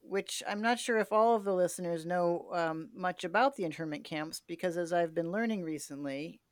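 A woman hosting an interview podcast, recapping and clarifying in a measured, explanatory conversational way. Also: fairly low pitch, no room sound.

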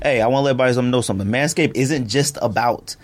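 A man with a mid-pitched voice hosts a casual podcast in a studio. He talks in slangy, jokey bursts, animated and repeating himself for emphasis. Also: rapid-fire. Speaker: podcast host. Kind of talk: casual podcast banter and joking ad read.

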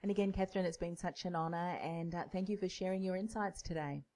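A woman's voice: fairly low pitch, fairly even intonation, fast, mellow. A woman hosting a podcast interview, affirming and chatty. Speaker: podcast host.

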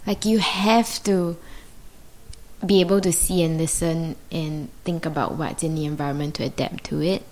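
A woman with a low voice and Mandarin as her first language, thinking aloud as a guest. She speaks thoughtfully and hesitantly, pausing often and reaching for the right words. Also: steady tone, darkish tone, low-quality recording. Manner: thoughtful, searching, many pauses and fillers. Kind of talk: reflective conversation.

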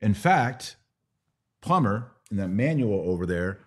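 A man's voice: lowish voice, sing-song, a little slow, slightly rough. A middle-aged American man hosting a conversational Q&A podcast, explaining things didactically and checking in with rhetorical questions. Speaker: podcast host answering religious questions. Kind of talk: conversational Q&A teaching.

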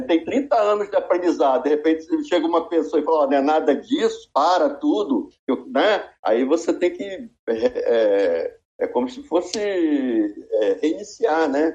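A man's voice: a little high, lively intonation, normal speed, a little mellow, lo-fi sound. Rambling man in his sixties. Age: 60s. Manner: rambling, many restarts and fillers. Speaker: podcast guest. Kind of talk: casual chat.

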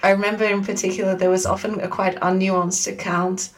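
A woman in her forties with an Australian accent, speaking in a measured, thoughtful way as an academic guest in an interview. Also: mid-range voice, flat delivery, far from the mic. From Sydney, Australia. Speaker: guest, media studies academic. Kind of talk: reflective interview conversation.

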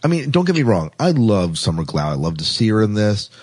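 A man's voice: fairly low pitch, big pitch swings, a little fast, darkish tone, slightly rough, no room sound. A man co-hosting a podcast in casual chat, talking enthusiastically and repeating words for emphasis. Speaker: podcast co-host. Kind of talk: casual chat about sci-fi TV.

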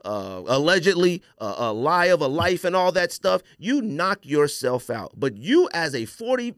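A male podcast host with a slightly high, very lively voice. He rants bluntly and emphatically, tossing out rhetorical questions as he goes. The recording is dry, with no room sound. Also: normal speed, dry recording. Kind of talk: opinionated casual sports-and-sneaker podcast rant.

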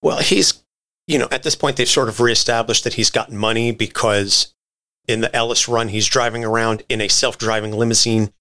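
Bright-voiced man explaining comics on a podcast. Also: mid-range voice, steady tone, moderate pace. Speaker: podcast co-host. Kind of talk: conversational explainer on comic book history.

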